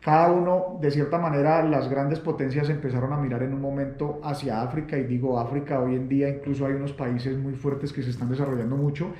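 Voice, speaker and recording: A Latin American man hosting an interview, with a warm, relaxed voice. He speaks sincerely but haltingly, stumbling through fillers. The recording carries a roomy echo. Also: medium pitch, muffled recording. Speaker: host. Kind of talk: interview conversation.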